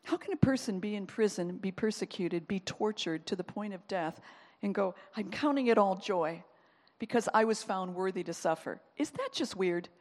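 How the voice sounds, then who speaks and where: A woman pastor preaches in a lively, conversational way, acting out quotes along the way. Her voice sits at an ordinary middle pitch. A long reverb trails each phrase, as in a church hall. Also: moderate pace. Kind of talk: sermon.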